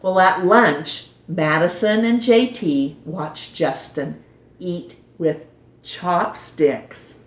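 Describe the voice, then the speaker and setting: Lady doing kids storytime, really slow n expressive, echoey room. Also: fairly low pitch. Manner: animated, deliberate, dramatizes dialogue for young listeners. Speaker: storyteller reading a picture book aloud. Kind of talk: expressive children's storytime narration. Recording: roomy echo.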